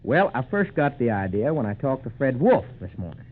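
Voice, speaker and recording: An actor in a 1940s radio drama playing a clear-headed, modest young man solving a frontier mystery in an earnest way. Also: mid-range voice, big pitch swings, brisk. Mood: earnest explaining. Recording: muffled narrowband 1940s radio recording.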